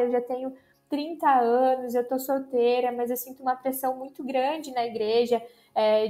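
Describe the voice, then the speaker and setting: A woman hosting a casual podcast chat, lively and chatty. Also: high voice. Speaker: podcast host.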